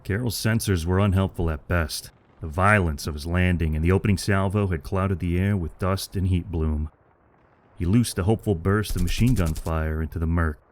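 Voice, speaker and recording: A male narrator reads a military adventure with dramatic, scripted delivery. His voice is low-pitched, and he keeps a measured, grave storytelling manner.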